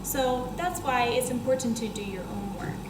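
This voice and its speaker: Young woman teacher, high voice, echoey classroom. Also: moderate pace. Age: young adult. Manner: expressive, addressing young children with questions and explanations. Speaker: first grade teacher. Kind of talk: reading a children's book aloud to pupils. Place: school classroom, indoors. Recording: roomy classroom echo, clear background noise.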